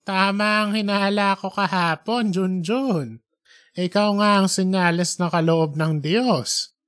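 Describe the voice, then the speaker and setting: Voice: mid-range voice, slow, fairly bright tone. A performer voicing a very old, devout father, tender and remorseful, with an emotional, pleading manner. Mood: grateful. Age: elderly.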